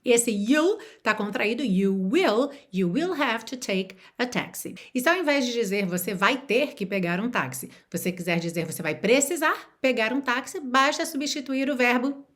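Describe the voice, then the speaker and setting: A woman teaching an online lesson in a fairly high, very animated voice. She speaks straight to the viewer in an encouraging way. She slows down to model each example phrase and then repeats it. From Rio de Janeiro, Brazil. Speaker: language teacher.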